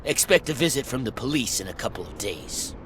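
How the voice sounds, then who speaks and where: A man playing a gruff, suspicious security guard. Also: medium pitch, animated, brisk, background noise, natural voice. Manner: curt, suspicious, threatening.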